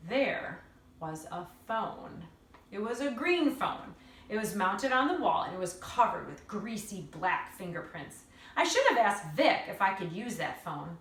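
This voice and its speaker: A woman's voice: mid-range voice, a bit bright. A woman reading a children's novel aloud as an earnest, indignant young girl, with emphatic, solemn storytelling. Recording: roomy echo, distant mic.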